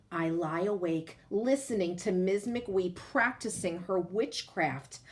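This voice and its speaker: A woman reading a children's picture book aloud in an expressive, deliberate storytelling manner, with emphatic phrasing for young listeners. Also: medium pitch.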